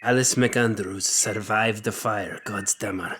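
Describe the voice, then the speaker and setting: Low-voiced, grim storytelling warrior. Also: male voice, fairly even intonation, a little slow, a bit bright, slight background noise. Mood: cold, bitter. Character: grim grown man recounting his violent, grieving boyhood. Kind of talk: first-person dramatic storytelling in an audio drama.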